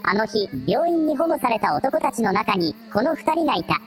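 女性が演じる抑揚豊かで高めの機械の相棒. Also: female voice, a little high, sing-song, normal speed, soft background music. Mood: analytical. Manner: terse formal report-like phrasing. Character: loyal analytical machine assistant, precise and matter-of-fact. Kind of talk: amateur anime-like radio drama acting.

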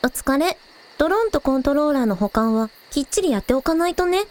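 A woman playing a cheerful, curious young village idol girl, casual and quick to exclaim, in a scripted audio drama. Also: sits high, lively intonation, a little mellow. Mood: teasing, easygoing.

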